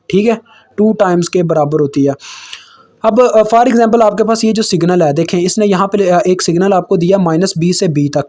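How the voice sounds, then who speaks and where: A man's voice: sits high, warm tone. A young man in his twenties with a Pakistani Urdu accent, explaining engineering slides in a casual, informal way and calling his viewers kids. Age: 20s. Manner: informal, addresses viewers as kids, frequent fillers and restarts; slowly reads English textbook lines aloud. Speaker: tutor.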